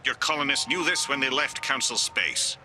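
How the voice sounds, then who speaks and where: A man's voice: medium pitch, normal speed, background noise, natural voice. An actor playing a dismissive, haughty male alien councilor in a video game, with condescending formality. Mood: stern. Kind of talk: video game dialogue acting.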